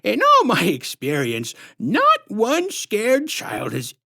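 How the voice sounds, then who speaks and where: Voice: mid-range voice, wildly swooping pitch, slow pace, vocal fry, bright, forceful tone, voice pushed up, exaggerated voice. A narrator voicing a huge, sinister female clown who talks in sickly sweet baby-talk rhymes. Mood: sickly sweet menace. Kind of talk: storybook reading.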